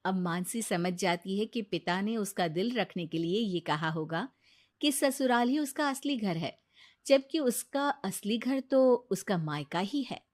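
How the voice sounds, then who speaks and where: A woman's voice: mid-range voice, expressive, a little slow, a little mellow. A woman reading scripted narration as a storyteller.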